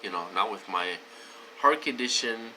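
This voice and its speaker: Wheezy man, bright tense voice. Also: fairly low pitch, expressive, moderate pace, slight background noise, poor audio. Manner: rambling, many fillers and restarts. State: wheezy, short of breath, coughing. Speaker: vlogger. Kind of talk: casual talk to camera.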